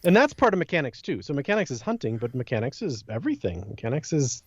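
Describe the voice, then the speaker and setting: A man's voice: mid-range voice, sing-song, fast, husky. A man co-hosting a podcast in casual, conversational discussion with a self-deprecating manner. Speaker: podcast co-host. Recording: slightly dull lo-fi, roomy echo.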